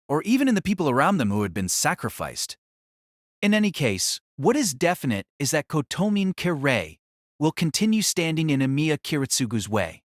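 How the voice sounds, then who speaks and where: A man reading scripted, dramatic novel narration as an audiobook narrator, in a measured, formal way. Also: medium pitch, no room sound, studio quality.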